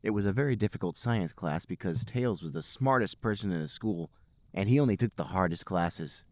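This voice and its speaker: Guy podcast host reading goofy story narration, kinda low voice, no room echo. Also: a little fast, darkish tone. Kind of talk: comedic read-aloud narration.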